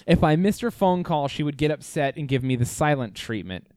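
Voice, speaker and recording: A man's voice: a little high, lively intonation, brisk, a little mellow, no echo. A man co-hosting a casual chat, reading a listener's story aloud with wry, profane asides.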